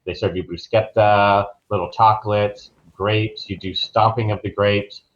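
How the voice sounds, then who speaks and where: The sound is slightly dull and lo-fi. A man with a lowish voice talks informally as a travel professional being interviewed. He explains things in a casual, conversational way. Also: fairly even intonation, measured pace. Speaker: travel professional, podcast guest.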